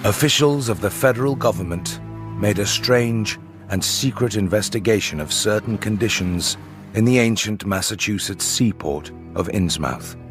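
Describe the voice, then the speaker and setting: Casting: man playing young gentleman narrator of horror fiction, low pitch, solemn and literary, music behind. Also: natural voice. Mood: grave. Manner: formal, literary, measured. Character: young male antiquarian narrator, formal, grave, uneasy.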